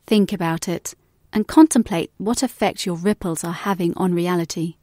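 A woman reading a reflective book excerpt as a guest reader, in a measured, contemplative way. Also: fairly low pitch, dark tone, no room sound, studio quality.

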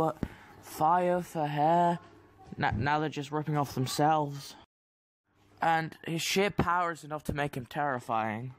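Young man, cartoon reviewer, slightly high voice, halting commentary, hesitant phrasing. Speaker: video maker reviewing cartoons. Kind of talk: top ten countdown commentary.

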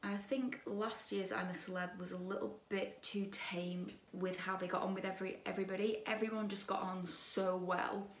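A young woman in her twenties chatting while she does her makeup at a dressing table, gossipy and opinionated, her voice a bit croaky. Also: medium pitch, little pitch movement, normal speed, hardly any pauses, roomy sound, far from the mic, slight background noise. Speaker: beauty vlogger. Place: bedroom dressing table with lit mirror, indoors.

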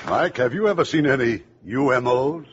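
A man's voice: mid-range voice, lively intonation, moderate pace, a loud crowd, heavy background noise. An American man hosting a scripted 1950s radio show. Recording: muffled 1950s radio recording.

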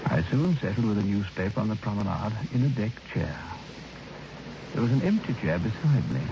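Low, creaky-voiced troubled man. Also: animated, fairly quick. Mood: grave, measured. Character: troubled, reluctant male lodger telling his ghost story. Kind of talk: old radio drama acting and narration. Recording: muffled, noisy old radio recording.

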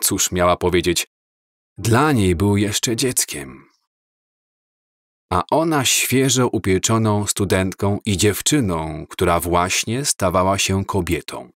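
A man reads a story aloud at a very slow, deliberate pace. His voice sits a little low and stays calm throughout. The sound is dry and close, with no room around it. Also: dry recording. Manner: slow, measured, calm reading. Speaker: audiobook narrator. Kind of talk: scripted storybook narration.

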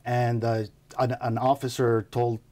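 A man's voice: medium pitch, level tone, measured pace, mellow. A middle-aged man from Sudan who lives in Canada, speaking casually and earnestly as an interview guest. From Sudan, moved to Canada. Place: recording studio control room, indoors.

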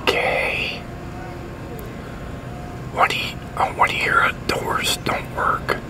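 Male, sixties, breathy whisper, unhurried halting delivery, ASMR office roleplay. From United States. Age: 60s. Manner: hesitant, halting. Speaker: ASMR creator playing a leasing agent. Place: outdoor covered picnic pavilion with metal tables.

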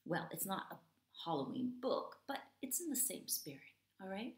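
A woman's voice: medium pitch, very animated, moderate pace. A woman in her thirties, an English teacher, reading aloud expressively and explaining simply to children. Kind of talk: expressive picture-book read-aloud for young learners. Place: small home room, indoors. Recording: roomy home video sound.

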